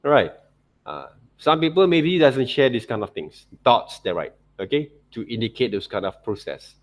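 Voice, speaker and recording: A man with a Malaysian accent teaching an informal software tutorial, often checking in with short tag words like okay and right. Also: medium pitch, very animated, normal speed. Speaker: art teacher.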